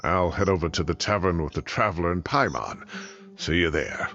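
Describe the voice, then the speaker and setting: A man playing an indignant, theatrical elderly former professor in a video game, expressive and blustery. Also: sits low, normal speed, muffled recording, faint music behind. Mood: brisk.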